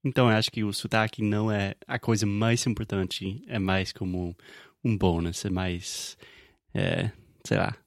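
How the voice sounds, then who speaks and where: An American man, a native English speaker, co-hosting a casual podcast and speaking Portuguese as a learner, relaxed and thinking aloud with fillers. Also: on the low side, measured pace, dry recording, clean studio sound. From United States. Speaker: podcast co-host, English teacher.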